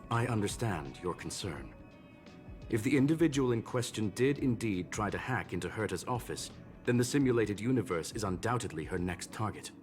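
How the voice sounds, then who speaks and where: An actor voicing a learned, formal gentleman robot in a video game, with courteous, measured phrasing. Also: on the low side, expressive, close to an everyday voice. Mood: calm, explanatory. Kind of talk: scripted video game dialogue. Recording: game music behind.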